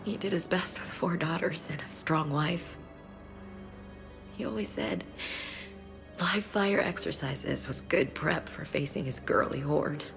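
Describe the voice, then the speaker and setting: A tough soldier sister, low voice, tender n restrained, music under it, game drama. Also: female voice, a little slow, vocal fry. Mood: proud, wistful. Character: tough but tender female soldier, protective older sister. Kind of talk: video game voice acting. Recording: background music under dialogue.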